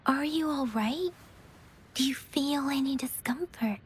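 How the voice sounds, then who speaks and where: A woman playing a calm, caring young female scout in a video game, soft-spoken, measured and polite. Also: sits high, slight background noise. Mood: concerned. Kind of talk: video game character voice acting. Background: background game music.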